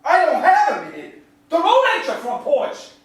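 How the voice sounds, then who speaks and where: A man playing a furious, impatient, rough father in a staged play reading, barking his orders. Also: very high-pitched, huge pitch swings, moderate pace, exaggerated voice. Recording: very echoey room, distant pickup.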